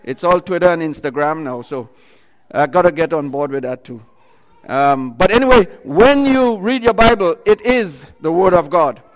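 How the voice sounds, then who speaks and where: A man with a high-set voice preaching loudly into a microphone to a congregation. He retells scripture in bursts, stopping and restarting and filling gaps with "uh" and "um". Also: expressive, moderate pace, long reverb tail. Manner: loud voice, talks in bursts with many fillers and restarts. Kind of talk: sermon retelling a Bible story.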